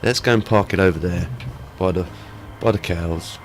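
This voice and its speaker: Brief: male gaming host, lower voice, sniffly head cold, rambling chatty commentary, faint music underneath. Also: moderate pace. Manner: chatty, rambling, many ums and uhs. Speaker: let's play host. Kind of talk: casual gameplay commentary.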